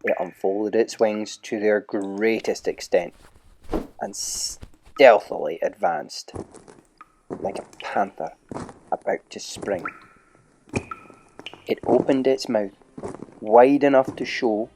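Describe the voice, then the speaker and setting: A man narrating a children's adventure story in a measured, dramatic way, dropping to a hush at tense moments. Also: fairly low pitch, a little hiss or hum, poor audio. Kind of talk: audiobook-style storybook narration.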